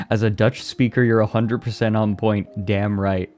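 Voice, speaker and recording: A man's voice: lowish voice, steady tone, a little slow, darkish tone, soft background music. A young man chatting casually on a live stream, reading and answering his chat and laughing often. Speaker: VTuber streamer.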